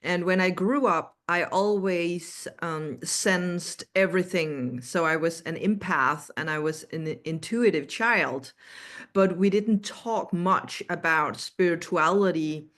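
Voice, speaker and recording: A middle-aged woman with a Danish accent speaks as an interview guest. Her pitch is a little low and her pace is very slow, with measured, halting explanations. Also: fairly bright tone. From Denmark. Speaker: interview guest, animal communicator.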